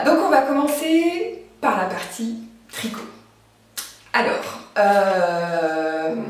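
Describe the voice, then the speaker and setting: A woman's voice: mid-range voice, far from the mic, a little hiss or hum. A young woman from France with a cold, chatting casually and hesitantly about her knitting and sewing, with many fillers. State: has a cold. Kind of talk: casual chat. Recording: very echoey room, voice from afar.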